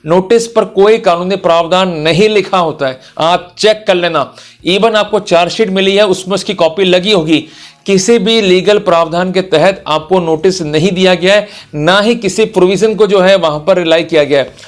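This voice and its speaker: A man's voice: high-pitched, moderate pace. A man giving an explanatory lecture as a lawyer, speaking emphatically and instructively straight to the listener. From India. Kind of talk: explanatory legal lecture for online viewers.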